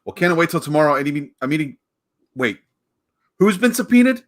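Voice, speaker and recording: An actor playing a combative, exasperated prosecutor in a 1940s-style radio play, indignant and emphatic. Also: male voice, fairly high pitch, very animated, brisk. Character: exasperated, combative male prosecutor, family man.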